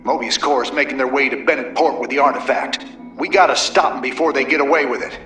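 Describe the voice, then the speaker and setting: A man's voice: mid-range voice, fairly even intonation, quick pace, close to an everyday voice. A man voicing a gritty, wry human military commander in a video game, with a casual drawl. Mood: urgent. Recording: loud music behind studio voice.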